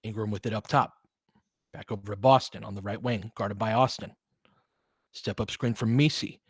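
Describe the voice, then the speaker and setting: Male play-by-play host for basketball. Conversational, thinks out loud, breaks down the action, recorded dry. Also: fairly low pitch, steady tone, moderate pace, husky, dry recording. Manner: conversational, thinking aloud, analytical. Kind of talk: live basketball commentary with casual asides.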